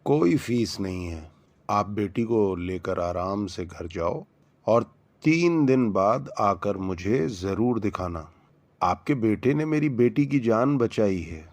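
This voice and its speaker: A kindly male doctor from a cartoon story, played by a man, speaks slowly and reassuringly. His voice is lowish and grateful in tone, recorded dry and close. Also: animated, darkish tone, no echo. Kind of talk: animated story acting.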